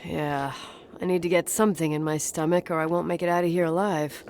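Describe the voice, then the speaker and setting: A woman's voice: lowish voice, flat delivery, brisk, darkish tone, a little hiss or hum, lightly acted. An actress playing a guarded, dry-witted young woman in a naturalistic video game performance. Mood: dry, weary.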